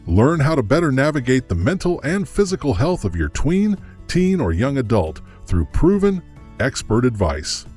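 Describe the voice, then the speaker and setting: A man's voice: mid-range voice, sing-song, normal speed, darkish tone. A man reading the scripted intro of a podcast in an upbeat announcer delivery. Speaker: show announcer. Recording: voice over faint music. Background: faint music.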